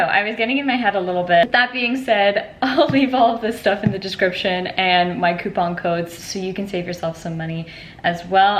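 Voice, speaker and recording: A young woman vlogs from her kitchen in a chatty, upbeat way, full of fillers and little laughs. Her voice sits a bit low for a woman and sounds stuffy and nasal from allergies. A faint hum of the room is heard behind her. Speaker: lifestyle vlogger. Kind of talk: casual chat to camera.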